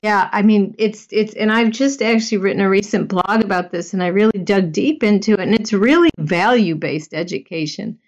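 A woman's voice: mid-range voice, fairly quick, hardly any pauses. Earnest, emphatic female guest on a talk show, slightly muffled audio. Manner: earnest, emphatic, with frequent you-knows. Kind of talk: conversational podcast chat.

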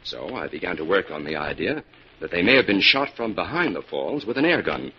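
An actor playing a confident, shrewd crime-fighting investigator in an old-time radio drama, explaining things in a crisp, declarative way. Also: male voice, deep voice, big pitch swings, a little fast, heavily acted. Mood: assured explaining. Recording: dull, noisy old radio recording.

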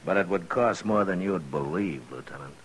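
A faintly noisy vintage radio western carries a very low voice. It belongs to a frontier marshal acted by a man, patient and authoritative, who chooses words slowly and dryly. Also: natural voice. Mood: dry, firm. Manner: measured, deliberate, laconic. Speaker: lead actor in a western radio drama. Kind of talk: 1950s radio drama acting.

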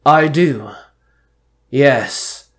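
A man voicing a grave, commanding ancient spirit god in a story reading, with slow, portentous delivery. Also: medium pitch. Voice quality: bellowing. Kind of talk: amateur fan-fiction story reading.

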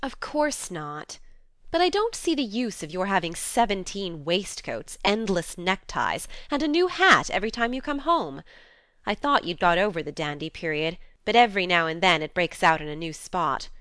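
A woman plays a blunt, tomboyish young woman from a storybook, in a smooth, clear voice with very dry sound. She is hearty and earnest as she admonishes. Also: medium pitch, a bit bright, no room sound. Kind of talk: audiobook character dialogue.

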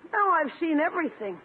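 An actor playing a cheeky, incredulous adolescent nephew who wisecracks in a squeaky voice in a 1950s radio comedy. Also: male voice, narrow, tinny audio, exaggerated voice. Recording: very noisy, roomy, thin old radio recording.

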